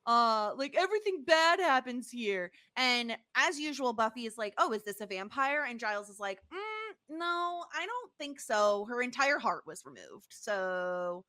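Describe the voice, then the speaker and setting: Bright, higher-pitched woman podcaster. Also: big pitch swings, measured pace, hardly any pauses. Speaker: podcast co-host. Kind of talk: casual chat, TV episode recap.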